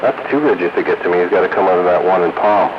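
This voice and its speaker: Casting: man, lowish animated voice, casual joking radio host, heard through a muffled, hiss-filled CB transmission. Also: quick pace. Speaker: amateur radio operator hosting an on-air roundup. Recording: noisy hissy muffled two-way radio transmission.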